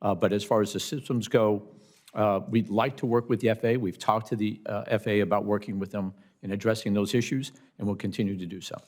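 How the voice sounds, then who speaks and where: An American man giving formal testimony as a union representative, choosing his words deliberately. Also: fairly low pitch, fairly even intonation, a little mellow. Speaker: air traffic controllers' representative testifying.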